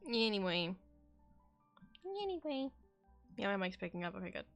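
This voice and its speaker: Creaky-voiced woman streaming a game. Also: medium pitch, expressive, normal speed, faint music behind. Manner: rambling, self-questioning asides. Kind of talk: casual livestream chat over gameplay.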